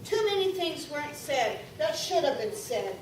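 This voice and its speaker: A woman's voice: sits high, big pitch swings, moderate pace. An actress playing a defiant young farm woman in a live radio play, blunt with a folksy way of talking. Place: convention hall. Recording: echoey hall, from far off, noisy.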